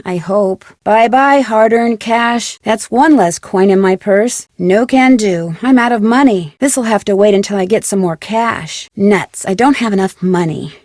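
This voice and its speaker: Game heroine , female actor: curious young woman detective, plucky and earnest, mid-pitched, very dry studio sound. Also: measured pace, few pauses, darkish tone, no room sound, natural voice. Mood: wry, disappointed. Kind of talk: scripted video game dialogue.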